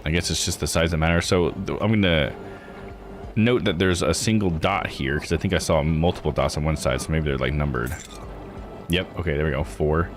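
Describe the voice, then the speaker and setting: A man doing casual let's play commentary, thinking aloud and reading game text out. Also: rumbling low. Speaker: video game streamer. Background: game music.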